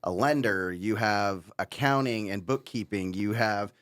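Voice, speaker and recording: A man's voice: fairly low pitch, measured pace, fairly bright tone. A man co-hosting a casual panel discussion, speaking informally and candidly. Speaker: podcast co-host.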